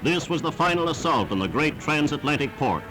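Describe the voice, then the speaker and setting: A man's voice: on the high side, lively intonation, brisk, low-quality recording. A man narrating a scripted 1940s wartime newsreel in a formal, emphatic, declamatory manner, on a vintage soundtrack. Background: orchestral newsreel music.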